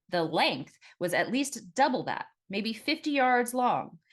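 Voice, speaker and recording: A woman reading her own novel aloud as scripted first-person audiobook narration, in an expressive storytelling manner. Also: on the low side.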